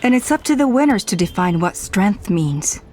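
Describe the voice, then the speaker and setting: An actress voicing a resolute, self-sacrificing young woman in a game cutscene, calm and determined. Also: medium pitch, moderate pace, slight background noise, close to an everyday voice. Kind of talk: video game cutscene voice acting. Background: faint game music.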